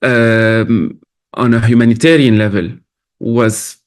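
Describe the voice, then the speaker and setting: A man's voice: medium pitch, fairly even intonation. Man, from Lebanon, middle-aged, film director, Q&A answers, thoughtful, restarts often. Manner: thoughtful, halting, many restarts. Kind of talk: festival Q&A answers.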